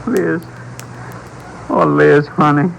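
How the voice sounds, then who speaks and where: An actor playing a bitter, quick-tempered ex-convict husband in a vintage American radio drama, with folksy, ungrammatical speech. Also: male voice, a little high, steady tone, gravelly, exaggerated voice. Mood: remorseful. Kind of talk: 1940s-50s radio drama acting. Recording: noisy old radio recording.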